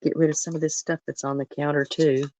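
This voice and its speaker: Low-voiced woman chatting while she bakes. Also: level tone, quick pace, darkish tone. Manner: rambling, talks to viewers while working. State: voice lower than usual from a cough. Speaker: cooking livestream host. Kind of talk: casual livestream chat while baking. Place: home kitchen. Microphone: phone mic.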